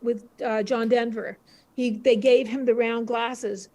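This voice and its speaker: A woman's voice: on the high side, fairly even intonation, unhurried, warm tone. An older woman, a panel guest, reminiscing casually. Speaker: interview guest.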